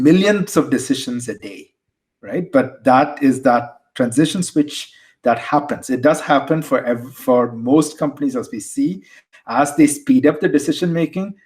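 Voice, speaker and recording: A man with an Indian accent, a guest on an interview podcast, explaining things conversationally and often repeating words as he restarts. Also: fairly high pitch. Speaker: guest, tech executive.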